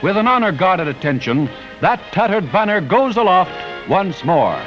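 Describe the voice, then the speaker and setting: A man's voice: high voice, big pitch swings, moderate pace. An American man reading scripted 1940s newsreel narration in a solemn, declamatory, patriotic manner. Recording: dull vintage newsreel soundtrack with music.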